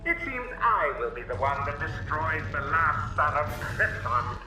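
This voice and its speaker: Gloating cartoon alien villain, kinda high voice, formal n smug, music behind. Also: male voice, sing-song. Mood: smug, threatening. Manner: drawn-out, gloating. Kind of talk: animated superhero game villain acting.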